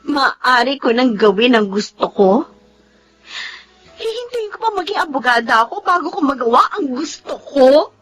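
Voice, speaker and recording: A woman's voice: high-pitched, sing-song, normal speed, fairly bright tone, husky, full character voice. A woman playing a defiant young daughter pleading for her own dreams, in emotional Filipino radio drama style with a Filipino accent. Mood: bitter, resentful. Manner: emotional, tearful, emphatic. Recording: music bed under the dialogue.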